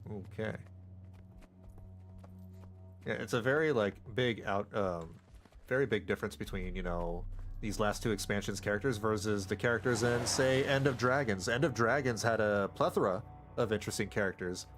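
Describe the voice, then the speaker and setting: A man streaming a game, rambling casually with excited outbursts and stammering restarts. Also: on the low side, brisk, faint music behind. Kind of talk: casual live gameplay commentary.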